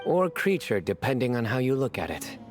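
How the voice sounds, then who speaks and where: A man voices a self-assured young video game character who delivers jokes with a straight face. His medium-pitched voice swings theatrically and a bit boastfully. Soft music runs underneath. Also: big pitch swings, brisk, natural voice. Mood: jokey, self-assured.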